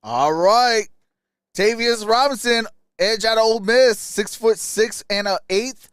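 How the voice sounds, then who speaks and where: A man's voice: high-pitched, a little slow, background noise. A man hosting a live stream, giving casual, colloquial sports commentary like a die-hard fan.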